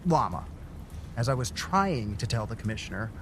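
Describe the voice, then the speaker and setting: A man's voice: medium pitch, sing-song, normal speed, background noise, close to an everyday voice. An actor playing a wary, blunt city councilman who argues in a skeptical way in an audio drama. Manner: skeptical, argumentative.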